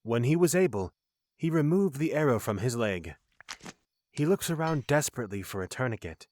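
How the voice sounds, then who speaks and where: A man's voice: mid-range voice, very animated, normal speed, darkish tone, no echo. Dry-sounding male audio drama narrator.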